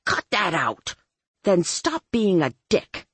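A woman voicing a sharp-tongued young heroine who sounds flustered and urgent in an audiobook. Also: mid-range voice, huge pitch swings, slow, husky, dry recording, pitched above the performer's own voice, heavily acted. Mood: snappy, urgent.

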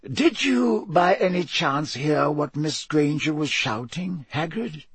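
A man voicing an elderly headmaster with a dry, ironic, measured way of talking. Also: on the high side, animated, slightly rough, no echo, voice pushed up, exaggerated voice. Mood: wry. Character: elderly male headmaster, wry and gently stern.

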